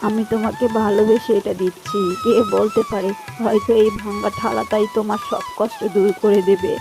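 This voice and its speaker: A woman voicing a kindly old village granny in a cartoon, affectionate and gently coaxing. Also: medium pitch, huge pitch swings, a little fast. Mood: gently insistent. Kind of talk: cartoon character dialogue. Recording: music behind the voice.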